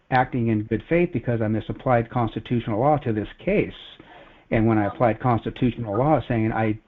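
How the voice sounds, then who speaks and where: A man giving an earnest, rambling, casual personal account on a conference call. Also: fairly low pitch. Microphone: telephone conference call. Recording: phone-like conference call audio.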